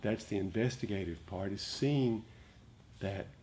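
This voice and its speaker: A man giving a dharma talk as a meditation teacher, speaking reflectively and gently, with frequent pauses. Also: lowish voice. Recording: noticeable background noise.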